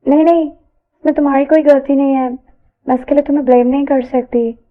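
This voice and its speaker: A woman's voice: high voice, steady tone, fairly quick, narrow, tinny audio, natural voice. A woman voicing a bashful but spirited young college woman in an audio story, sprinkling in English words. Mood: reassuring. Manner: stammering when flustered, mixes in English words. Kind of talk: audio story character dialogue.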